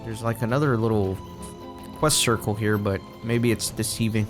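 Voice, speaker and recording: A man's voice: on the low side, fairly even intonation, moderate pace, music in the background. Chatty man getting over a cold. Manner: chatty, rambling, self-correcting. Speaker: let's play gamer. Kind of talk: casual gameplay commentary.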